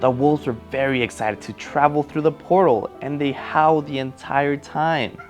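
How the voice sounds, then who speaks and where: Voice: mid-range voice, moderate pace. A narrator telling a children's story, explaining things along the way. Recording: loud music behind the voice.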